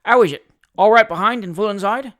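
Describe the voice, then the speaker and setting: A man's voice: sits high, brisk, fairly bright tone, pitched above the performer's own voice. A man voicing a grave, blunt older coachman with rustic, ungrammatical speech and dry humour, in a comic Victorian audiobook. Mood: concerned.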